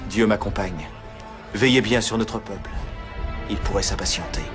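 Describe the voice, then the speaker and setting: An actor playing a calm, devout prophet leading his people in a Bible cartoon, earnest and reassuring. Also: fairly low pitch, moderate pace, lightly acted. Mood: calm, resolute. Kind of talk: animated Bible film acting. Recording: loud music and crowd behind.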